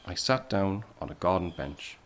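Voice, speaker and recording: A low-voiced, expressive returning soldier. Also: male voice, normal speed, a little hiss or hum. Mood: wistful. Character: reflective young soldier home from the war, fond narrator. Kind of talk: scripted first-person narration in an audio play.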